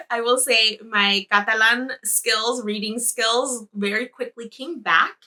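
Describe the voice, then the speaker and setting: A woman's voice: a little high, takes it slowly, bright, forceful tone. A woman whose first language is Spanish, hosting a podcast and chatting in a rambling way about a trip, correcting herself and laughing often. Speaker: podcast host. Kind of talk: casual travel recap.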